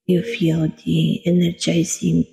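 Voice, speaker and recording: A woman guiding a meditation in a soothing, deliberate manner, leaving long pauses between short phrases. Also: lowish voice, completely flat delivery, vocal fry, warm tone. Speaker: meditation guide, coach. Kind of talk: guided meditation narration. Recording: long reverb with music behind. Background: meditation music.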